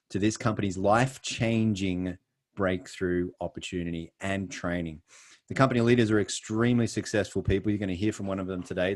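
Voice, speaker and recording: A middle-aged Australian man giving an earnest, emphatic sales presentation over a video call, with frequent pauses. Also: lowish voice, darkish tone.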